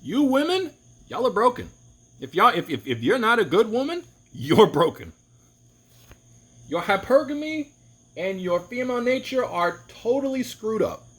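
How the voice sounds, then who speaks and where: A man's voice: sing-song, moderate pace, a bit bright, husky. A man giving an opinionated, rambling monologue to camera, often restarting his sentences. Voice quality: deep voice. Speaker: vlogger.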